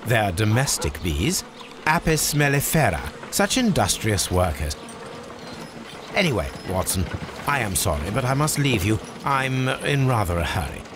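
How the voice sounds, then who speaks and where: An actor playing a brilliant, eccentric Victorian English detective, formal, precise and dryly teasing, in a video game. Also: male voice, medium pitch, very animated, unhurried, noisy background. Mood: cheerfully eccentric.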